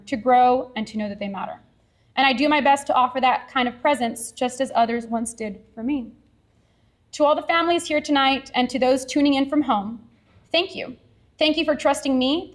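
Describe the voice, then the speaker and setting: High-voiced woman giving heartfelt speech. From Edinburg, Texas. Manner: earnest, heartfelt, measured. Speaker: science teacher accepting an award. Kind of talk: prepared acceptance speech. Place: award ceremony venue.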